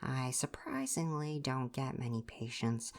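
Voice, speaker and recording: An eccentric, absent-minded healer god of ancient Greece, acted by a woman in an ASMR roleplay. The voice is very deep. The talk is politely formal, then wanders off into chatty, casual musing. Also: fairly even intonation, measured pace. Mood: brisk, reassuring. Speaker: doctor.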